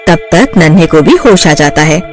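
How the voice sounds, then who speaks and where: A woman narrating a horror cartoon story in a lively, dramatic scripted style. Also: low voice, normal speed, faint music behind.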